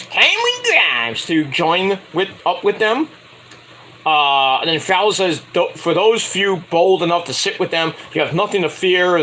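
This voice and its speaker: A man's voice: sits high, sharp tone, slight background noise, poor audio. A man casually reviewing a wrestling show, rambling and correcting himself, with sarcastic asides while he fights off a cold. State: getting a cold, voice going. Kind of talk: casual solo review recap.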